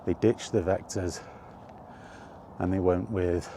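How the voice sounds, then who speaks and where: A middle-aged man chatting casually and reminiscing in a halting, thinking-aloud way, in short rambling phrases. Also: low voice, fairly even intonation, warm tone, a little hiss or hum. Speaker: video creator and host. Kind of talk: casual reminiscing monologue about retro games. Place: outdoors while walking.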